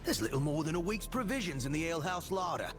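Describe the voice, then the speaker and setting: A man's voice: on the high side, very animated, fast, music in the background. A man voicing a harried, grumbling townsman in a video game. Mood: harried, irritated.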